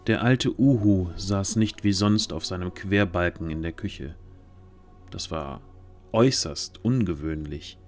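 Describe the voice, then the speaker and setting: A man's voice: low-pitched, unhurried, a little mellow, faint music behind. A man narrating a scripted fantasy story as the narrator of an audio drama.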